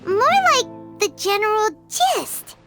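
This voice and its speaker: A woman voicing a perky, cheeky, childlike fairy companion in a video game, squeaky and excitable. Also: slow, exaggerated voice. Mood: pondering. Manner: excitable, refers to self in third person. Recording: loud music behind the voice.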